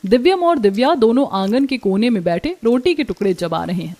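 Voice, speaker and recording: A woman's voice: on the high side, a little mellow. A woman narrating a scripted cartoon story.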